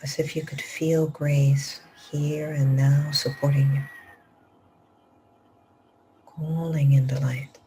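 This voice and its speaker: Slow, low-voiced woman guiding meditation. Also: level tone, slight background noise, poor audio. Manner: slow soft-spoken instructions, drifting into whispers. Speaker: meditation teacher. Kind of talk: guided meditation. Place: live group session.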